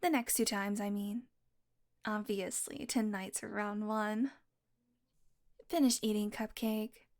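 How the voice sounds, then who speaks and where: A woman's voice: medium pitch, steady tone, slow, pauses often, a little mellow. A woman voicing a teasing, possessive vampire who dotes on her prey, speaking intimately and smugly to the listener in an audio roleplay. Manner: smug, flirtatious teasing with mock annoyance.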